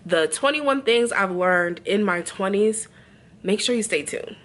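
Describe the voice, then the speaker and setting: A woman's voice: medium pitch, lively intonation, a little slow, fairly bright tone, slight background noise. A woman in her early thirties chatting casually to viewers in a get-ready-with-me vlog, talking in an emphatic, familiar, conversational way. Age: early 30s. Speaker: vlogger, host of own channel. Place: indoors in a bedroom.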